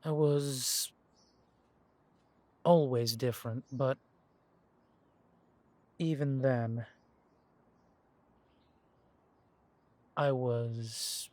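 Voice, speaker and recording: An easygoing young werewolf man, as a man acts him out talks straight to the listener in an audio roleplay. His voice sits at a middling pitch, and his manner is relaxed and reminiscing, with frequent soft chuckles. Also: no room sound, natural voice. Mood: wistful.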